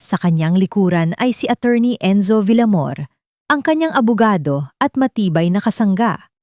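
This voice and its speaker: A woman's voice: mid-range voice, animated, moderate pace, a little mellow, no echo. Filipino woman narrating, close dry sound. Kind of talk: scripted dramatic audio story narration.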